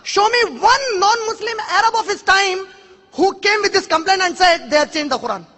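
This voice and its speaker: Emphatic high-voiced man in echoing hall. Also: normal speed, bright, forceful tone. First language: Urdu. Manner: emphatic, challenging, rhetorical questions. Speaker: speaker answering an audience question. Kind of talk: public question-and-answer lecture. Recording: long hall reverb.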